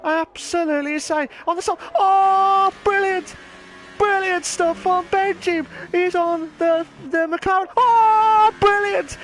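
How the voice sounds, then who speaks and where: A man commentating a live sim racing league, rambling and excitable, voice wearing hoarse from shouting. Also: very high-pitched, takes it slowly. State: voice going hoarse. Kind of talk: live esports race commentary.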